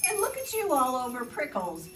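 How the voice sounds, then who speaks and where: A woman's voice: high voice, huge pitch swings, normal speed, music in the background, voice pushed up. A woman voicing a bossy male owl in a storybook tale, hooting and demanding, recorded far off in an echoey room. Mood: scornful. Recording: echoey room, distant mic.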